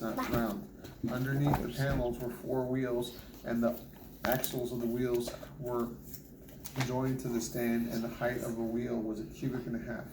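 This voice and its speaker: A man reading scripture aloud in a measured, deliberate manner. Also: mid-range voice. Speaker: Bible reader. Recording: roomy echo with clear background noise.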